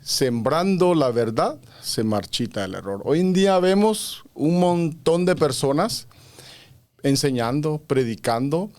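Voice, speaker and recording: A man's voice: on the high side, very animated, unhurried, a little mellow. A man from Honduras, a podcast guest who explains his views earnestly at length, with frequent fillers. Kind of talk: conversational interview.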